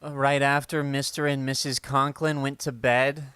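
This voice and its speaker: A woman's voice: a bass voice, level tone, moderate pace, a bit bright, clean tone, no room sound, studio quality. A woman playing an earnest, loyal teenage boy in a vintage radio comedy. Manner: earnest, boyish. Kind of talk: 1940s-50s radio comedy acting.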